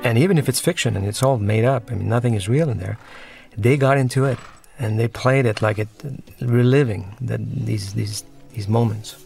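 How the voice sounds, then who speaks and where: A man, the film's director, talking through a commentary track and often pausing and restarting as he searches for words. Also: medium pitch, gravelly, music in the background. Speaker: film director.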